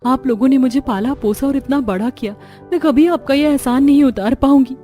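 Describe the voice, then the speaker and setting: A woman's voice: high-pitched, brisk, mellow, faint music behind, close to an everyday voice. A woman voicing a humble, grateful young daughter-in-law in a family cartoon, earnest and deferential.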